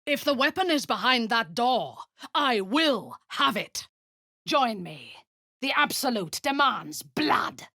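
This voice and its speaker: An actress voicing a cold, imperious woman warrior-commander and zealous cultist in a video game, speaking in a low, husky, half-whispered menace. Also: sing-song, slow pace, sharp tone, no echo, studio quality, exaggerated voice. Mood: menacing. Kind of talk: video game character acting.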